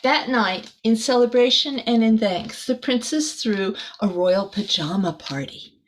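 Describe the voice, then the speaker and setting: A woman's voice: on the high side, animated, a little slow, slight background noise, rough recording. A woman reading a children's picture book aloud expressively, adding small chatty asides for a young listener. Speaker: storybook reader.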